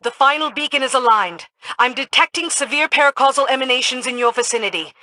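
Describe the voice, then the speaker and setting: A woman's voice: high voice, quick pace, pushed and bright, no echo. A woman playing an earnest, watchful mission guide in a video game, giving composed, instructive directions. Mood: urgent. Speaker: video game character voice actor.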